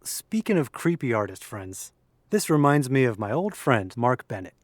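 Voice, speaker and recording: A man's voice: medium pitch, big pitch swings, moderate pace, no room sound, studio quality. A man playing a bookish young man in an audio drama, talking in an articulate, matter-of-fact way. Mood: thoughtful, certain. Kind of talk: scripted audio drama, conversational.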